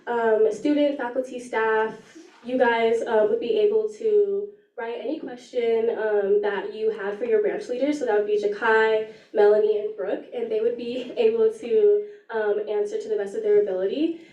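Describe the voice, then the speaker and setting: A young woman speaks with a slightly raspy voice, explaining an event earnestly and filling pauses with ums. Her tone is mellow and a bit high. She sounds distant from the mic in an echoey room. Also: fairly even intonation, highs cut off. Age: young adult. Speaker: student government chief of staff. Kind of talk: meeting announcement.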